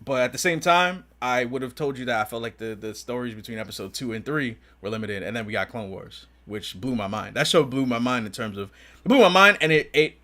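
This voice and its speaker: A man's voice: medium pitch, expressive, fairly quick, pushed and bright. A man co-hosting a casual fan discussion, speaking in an informal, slangy way. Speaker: podcast co-host.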